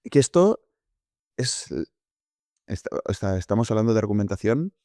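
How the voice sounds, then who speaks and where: Low-voiced man explaining step by step. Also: very animated, normal speed, dark tone, no echo, clean studio sound. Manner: explanatory, hesitant, many fillers and self-corrections. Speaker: podcast co-host. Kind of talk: casual conversational podcast.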